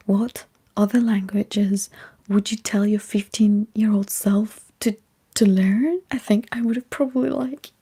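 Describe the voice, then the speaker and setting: Slow, very breathy whispering woman. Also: medium pitch, mellow. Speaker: ASMR streamer chatting with live viewers. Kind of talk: whispered ASMR livestream chat.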